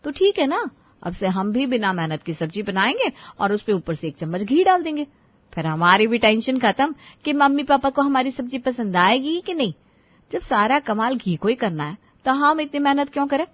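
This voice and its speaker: Scheming young daughter-in-law for a cartoon family tale, played by a female narrator, high voice, dry recording. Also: big pitch swings, moderate pace, dry recording, lo-fi sound. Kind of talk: cartoon story dialogue.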